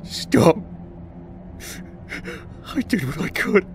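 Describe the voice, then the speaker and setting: Tormented young man, played by a man: guilt-ridden, pleading, very slow, widely varied intonation. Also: sits high, big pitch swings, gravelly, noisy background, full character voice. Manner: halting, pleading. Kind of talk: audio drama acting.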